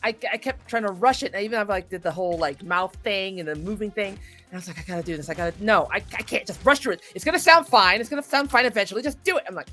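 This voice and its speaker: A woman voice actress chatting casually as an interview guest, self-critical and animated, acting out her inner thoughts as she goes. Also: medium pitch, fast, soft background music. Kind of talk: casual chat while playing a video game.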